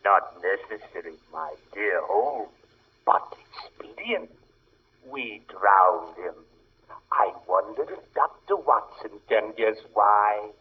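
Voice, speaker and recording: An actor playing a suave, gloating criminal mastermind in a 1940s radio drama, with a husky voice and a mock-polite, deliberate way of talking. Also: male voice, lowish voice, lively intonation, heavily acted. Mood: menacing. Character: suave gloating criminal mastermind professor, coldly amused villain. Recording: muffled phone-like vintage radio recording.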